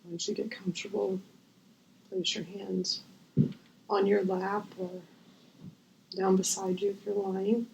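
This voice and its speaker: A woman guiding a visualization as host, gentle and unhurried with long pauses, her voice breathy and often near a whisper. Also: medium pitch, little pitch movement, lo-fi sound, slight background noise. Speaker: guided meditation host. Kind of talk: guided visualization, meditation.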